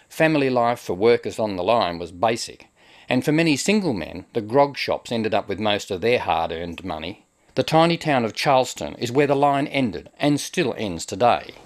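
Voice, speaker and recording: A man narrating a travel documentary in a scripted way, with wry asides. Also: lowish voice. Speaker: travel show host and narrator.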